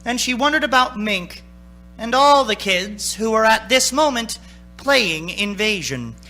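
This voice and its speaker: A man playing the narrator of a suspense radio play, telling the story in measured, dramatic phrases. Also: sits high, animated, bright, forceful tone, soft background music, heavily acted. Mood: musing.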